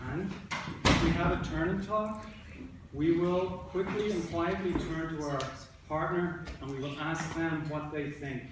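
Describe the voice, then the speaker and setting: A man working as an elementary school teacher, reading aloud to young children and talking with them in an instructive way, repeating and rephrasing. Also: on the high side. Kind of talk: interactive read-aloud to a class of young children. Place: indoor classroom. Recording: roomy, echoey, distant mic with background noise.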